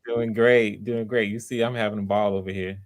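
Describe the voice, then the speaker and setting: A man's voice: lowish voice, little pitch movement, brisk. A man casually hosting live online auditions, chatting in an encouraging, affirming way. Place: remote live video stream.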